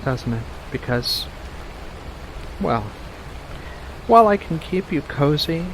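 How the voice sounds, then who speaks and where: A man voicing a playful, coy female witch with faint menace in a soft-spoken ASMR roleplay, speaking in a coaxing, deliberate way with pauses. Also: on the high side, background noise, voice pushed up.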